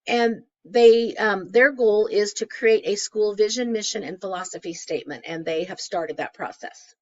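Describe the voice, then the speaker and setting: A woman with a crackly, hoarse voice from a cold, presenting a class case study conversationally with frequent fillers. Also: mid-range voice, moderate pace, darkish tone. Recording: dull lo-fi recording.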